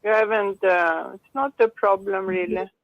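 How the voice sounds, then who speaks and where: A woman from Sweden with a Swedish accent, a regular caller speaking English slowly and hesitantly on a call-in show. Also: mid-range voice, steady tone, no room sound. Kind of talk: casual call-in chat. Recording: muffled phone line.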